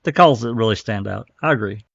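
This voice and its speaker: A man's voice: on the low side, lively intonation, fairly quick, gravelly, a bit bright, breathy edge, dry recording, muffled recording. A man hosting a casual online panel discussion, agreeing with guests and thanking each one. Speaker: podcast host.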